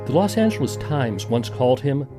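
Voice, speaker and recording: A man's voice: mid-range voice, sing-song, moderate pace. A man hosting a podcast, reading scripted documentary narration. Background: music.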